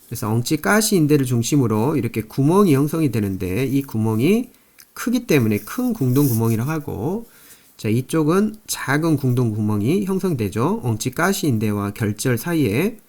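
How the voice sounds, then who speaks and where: A man's voice: medium pitch, very animated, normal speed, a little mellow. A man teaching anatomy in a recorded lecture, explaining slides step by step and repeating key terms with frequent fillers.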